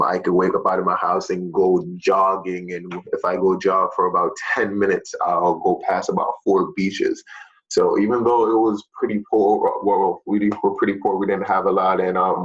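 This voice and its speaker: A man's voice: low-pitched, dull audio. A young man, a fitness teacher from Jamaica, talking casually to his students with many fillers. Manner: relaxed, chatty, many fillers.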